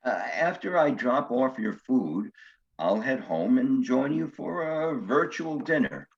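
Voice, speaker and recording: A man's voice: mid-range voice, very animated, slow pace. An actor playing a courteous, precise widowed grandfather courting on a video date in a stage comedy, earnest and a little formal, with dull, muffled sound.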